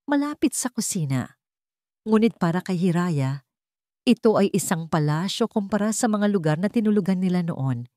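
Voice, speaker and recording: A woman's voice: medium pitch, no echo, studio quality. A woman narrating a scripted dramatic story as a storyteller.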